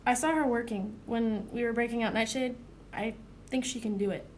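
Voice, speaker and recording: Fairly high voice, caring college-age young man acted by a woman, earnest, conversational, audible background noise. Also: fairly even intonation, normal speed, natural voice. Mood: earnest, concerned. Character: caring, dependable young male college student. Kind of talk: audio drama acting.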